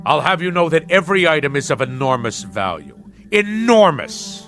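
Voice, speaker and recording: Blustery navy nut husband, radio play, super animated n pompous, drawn out words, bright forceful voice. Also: male voice, a little high, normal speed, faint music behind. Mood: indignant. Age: middle-aged. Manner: pompous, theatrical, bellowing, florid nautical speech. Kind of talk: radio drama acting.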